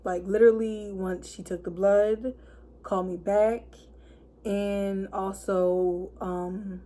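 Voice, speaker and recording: A hoarse woman of twenty-one, chatting casually to camera and packing her talk with fillers. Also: medium pitch, flat delivery, slow, warm tone. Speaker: vlogger. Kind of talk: casual story time chat.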